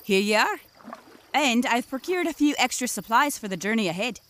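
A woman's voice: on the high side, lively intonation, moderate pace, fairly bright tone, slight background noise. A woman playing a stately, helpful elder of magical water folk, speaking formally and grandly in a fantasy comedy audio drama. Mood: gracious. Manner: formal, grandly archaic diction.

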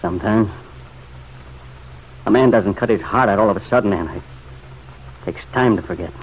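An actor playing a torn, stubborn farmer in a vintage radio drama, plain-spoken, hesitant and guarded. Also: male voice, lowish voice, normal speed, natural voice. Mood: wistful. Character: torn, stubborn farmer still pining for his wife. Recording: dull, noisy old radio recording.

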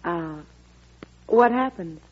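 A woman's voice: lowish voice, expressive, takes it slowly, breathy edge, full character voice. An actress playing a devoted, curious woman secretary who is deferential and coaxing, in an old-time radio drama. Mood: fussing, coaxing. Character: devoted, fussing, curious female presidential secretary. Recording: dull old radio broadcast recording.

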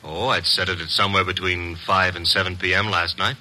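An actor playing a cocky, wisecracking detective's assistant in 1950s radio style, wry, slangy and needling. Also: male voice, low voice, steady tone, a little fast, sharp tone, slight background noise. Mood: probing, sardonic.

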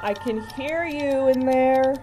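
A woman's voice: sits high, slow, dark tone, soft background music. A woman playing a tough female raider gang leader who taunts and threatens. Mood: taunting. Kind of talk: audio drama acting.

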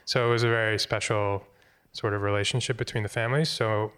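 This is a middle-aged American man with a clear, resonant voice sitting a little low. He talks conversationally, as an interview guest telling anecdotes. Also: fairly even intonation, fast, a bit bright. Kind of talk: casual conversational storytelling.

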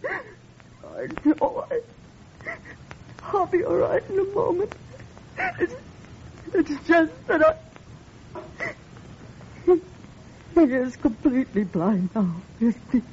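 Distraught woman, high tearful voice, halting delivery, old radio drama, dull hissy recording. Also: sing-song, a little mellow, heavily acted. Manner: halting, emotional. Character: anxious, tearful woman, patient's distraught loved one. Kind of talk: 1940s-50s radio drama acting.

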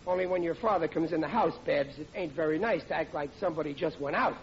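Through heavy noise and a muffled old radio sound comes a man playing a blustering, easily offended family man. His voice sits high for a man, with rough New working-class grammar. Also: fast talker, lightly acted. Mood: wounded, touchy. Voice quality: ungrammatical working-class speech. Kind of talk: 1940s radio comedy acting.

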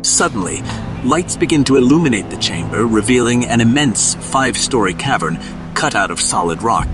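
A man narrating an audio drama in a dramatic, scripted style. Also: fairly low pitch.

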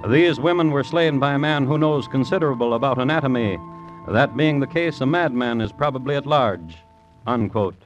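A man hosting and narrating an old radio crime drama in a deliberate, dry, wry manner. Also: mid-range voice, faint music behind. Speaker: host and narrator. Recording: dull old radio recording.

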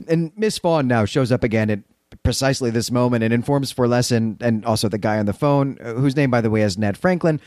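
A man's voice: medium pitch, a little mellow, no room sound. A man co-hosts a book podcast with a mid-range voice. He retells and discusses the story in an articulate, explanatory way. The sound is very dry, with hardly any room in it. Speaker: podcast co-host. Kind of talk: literary recap discussion, semi-prepared retelling.

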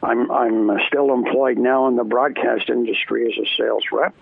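Older man, from Canada, guest in a casual interview, gravelly, husky, rambling, tinny phone line. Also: mid-range voice, steady tone, normal speed, no room sound. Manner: rambling, many restarts and fillers. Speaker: former arena announcer, guest.

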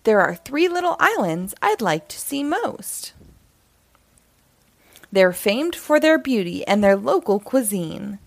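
A woman voicing an easygoing female hedgehog who loves sailing, polite and unhurried, in a children's storybook. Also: on the high side, very animated, vocal fry, dry recording, heavily acted. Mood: cheerful.

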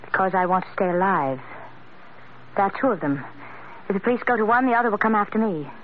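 A woman's voice: medium pitch, expressive, fast talker, lightly acted. Reserved young heiress played by a woman, muffled old radio. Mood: anxious, restrained. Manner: polite, restrained, earnest. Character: reserved, anxious young heiress, tightly self-controlled. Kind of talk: old-time radio drama acting. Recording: dull vintage radio recording with faint hiss.

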